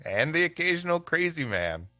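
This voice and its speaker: A man's voice: on the high side, very animated, moderate pace, pushed and bright, no echo. A man co-hosting a comedy show, bantering and narrating stories. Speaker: podcast co-host and story reader. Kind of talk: comic banter and storybook narration.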